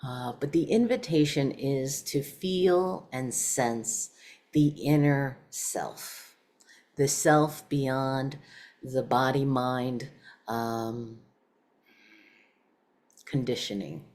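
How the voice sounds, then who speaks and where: Very low-voiced woman guiding meditation. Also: a little mellow. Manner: measured, pausing between short phrases. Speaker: meditation teacher. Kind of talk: guided meditation.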